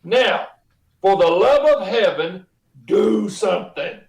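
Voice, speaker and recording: An actor playing a harried, impatient, bossy chief in a radio play, scolding with heavy emphasis. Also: male voice, high voice, animated, slow pace, a little mellow, heavily acted. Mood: impatient, urgent. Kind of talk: 1950s radio play acting. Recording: echoing room.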